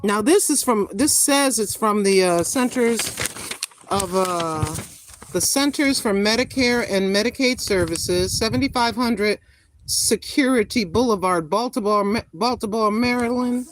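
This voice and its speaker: A woman in her sixties chatting casually with viewers on a live stream, greeting them by name and talking her thoughts through out loud. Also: medium pitch, a little slow, slight background noise, poor audio. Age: 60s. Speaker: vlogger greeting live chat.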